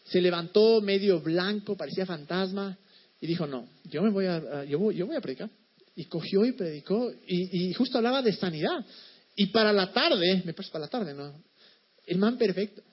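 A man speaking from a church stage, introducing a guest speaker and telling a lively, conversational story about him. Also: high voice. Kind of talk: church announcement and anecdote. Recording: dull lo-fi sound.

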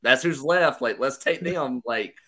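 A man co-hosting a sports podcast, slightly hoarse, talking through analysis in a measured way. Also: medium pitch, bright, forceful tone. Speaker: podcast co-host. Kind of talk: casual sports chat.

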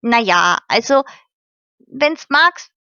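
A woman's voice: on the high side, lively intonation, slow pace, sharp tone, clear, resonant tone, no room sound, exaggerated voice. A woman reading the part of a cheerful, grateful young girl with folksy southern German turns of phrase. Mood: eager. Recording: slightly muffled recording.

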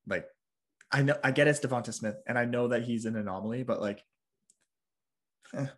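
A man co-hosting a casual sports podcast, opinionated and joking in quick back-and-forth. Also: medium pitch. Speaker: podcast co-host.

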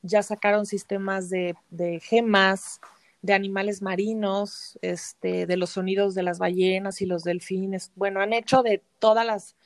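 A woman's voice: mid-range voice, little pitch movement, a little slow, no room sound. Mexican woman explaining, close dry sound. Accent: Mexican Spanish. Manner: explanatory, often ends phrases with tag questions. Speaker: interview guest, flower remedy practitioner and teacher. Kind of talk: conversational explanation in an interview.

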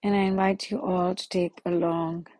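A woman leading a guided meditation in a slow, soothing manner with long pauses. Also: on the low side, level tone, a little mellow, no echo.

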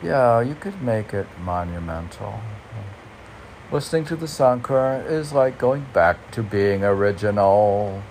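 Low, gravelly man musing slowly over poetry. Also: expressive, darkish tone, a little hiss or hum, low-quality recording. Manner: musing, hesitant, thinking aloud. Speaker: poet reading and musing on his own poems. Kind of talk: casual rambling commentary between read-aloud lines of poetry.

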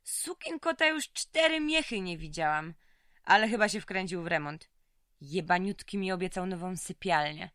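A tough, weary female convict for a radio play. Her voice sits a little low and is recorded close and dry. She talks in blunt, streetwise slang. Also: animated, moderate pace, fairly bright tone, dry recording. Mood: brash, sardonic. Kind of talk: audio drama acting.

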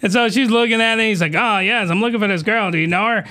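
A man's voice: high-pitched, fast talker, sharp tone. A man hosting a podcast, recapping an anime in a rambling, mock-outraged way, losing his voice as it cracks. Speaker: podcast host.

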